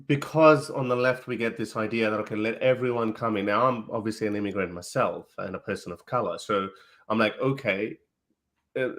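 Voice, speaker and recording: A man's voice: medium pitch, a bit bright. A man from Pakistan hosting an interview, asking conversational questions and often building up to them at length. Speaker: interviewer, host.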